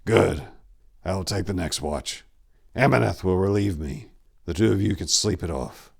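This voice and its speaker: A man voicing a stern warrior monk, a forceful and authoritative leader, in a dramatic fantasy story reading. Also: sits low, moderate pace, creaky, husky, exaggerated voice. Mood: grim, calm.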